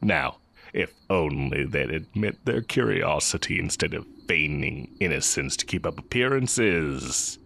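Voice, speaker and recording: A man playing a smug, amused evil magic teacher in a romantic audio roleplay, with a sardonic, teasing drawl and a very deep, creaky voice. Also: animated, unhurried, fairly bright tone, exaggerated voice. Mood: sardonic, scornful. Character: evil male magic teacher, heretic, smug, amused, fond of former student.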